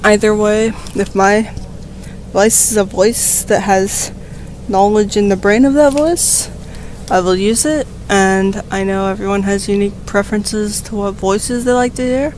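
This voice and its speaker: A slightly hoarse woman reading a political text aloud on a stream and breaking into rambling, profane, emphatic commentary into a desk microphone. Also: mid-range voice, fairly even intonation, unhurried, a little mellow, slight background noise, low-quality recording. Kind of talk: casual read-aloud with commentary.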